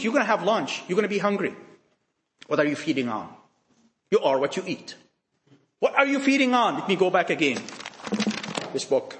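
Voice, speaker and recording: Impassioned preacher with high lively voice. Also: male voice, slight background noise. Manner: emphatic, repetitive, rhetorical questions, dramatic drops in volume. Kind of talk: sermon to young people. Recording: echoey room.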